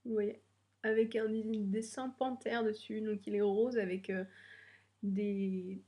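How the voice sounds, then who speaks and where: A woman's voice: mid-range voice, little pitch movement, slow pace, warm tone. A young woman with a slightly stuffy nose from a cold, chatting hesitantly with many fillers as she unboxes beauty products. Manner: hesitant, many fillers, nervous first video. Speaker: beauty vlogger. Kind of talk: casual unboxing chat.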